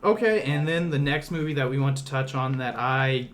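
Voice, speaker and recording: A man's voice: medium pitch, lively intonation, moderate pace, a little hiss or hum. A man hosting a casual podcast discussion about movies, leading the conversation. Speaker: podcast host.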